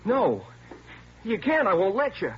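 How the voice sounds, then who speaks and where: A frightened young car thief. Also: male voice, high-pitched, wildly swooping pitch, unhurried, exaggerated voice. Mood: pleading. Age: young adult. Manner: sullen and curt, breaking into frantic pleading. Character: scared twenty-year-old male car thief, sullen then pleading. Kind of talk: 1950s radio drama acting. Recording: dull old radio broadcast with faint hiss.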